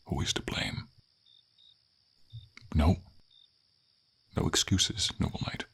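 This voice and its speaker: A man playing a gentle, noble, melancholy silver dragon in an ASMR fantasy roleplay, speaking tenderly and formally in a breathy, gravelly voice. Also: full character voice. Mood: earnest, consoling. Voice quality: husky, gravelly, breathy low voice. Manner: slow, tender, formal, hesitant phrasing.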